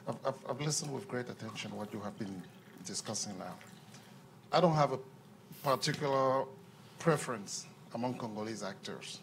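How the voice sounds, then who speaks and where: A man's voice: mid-range voice, a little hiss or hum, rough recording. A man from Cameroon, making an earnest comment from the floor of a panel Q&A on a handheld microphone, often repeating words as he restarts. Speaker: audience member at a panel Q&A. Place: conference room.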